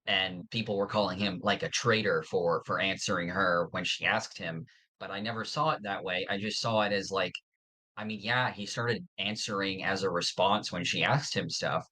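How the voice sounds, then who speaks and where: Low-pitched, bright-voiced casual podcast co-host. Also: steady tone, normal speed, rough recording. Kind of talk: casual fan discussion podcast.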